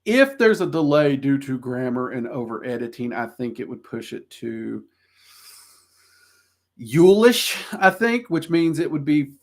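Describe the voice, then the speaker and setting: Southern guy rambling on his livestream, gravelly voice, lots of ums, pokes fun at himself. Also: medium pitch, big pitch swings, a little slow. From Southern United States. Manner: rambling, self-deprecating, frequent ums. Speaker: livestream host answering viewer questions. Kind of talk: casual livestream chat.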